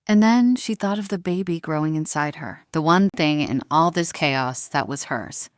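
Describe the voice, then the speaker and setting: A woman reading scripted dramatic fiction as narrator, in a measured storytelling manner. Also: low-pitched, lively intonation, no room sound, studio quality.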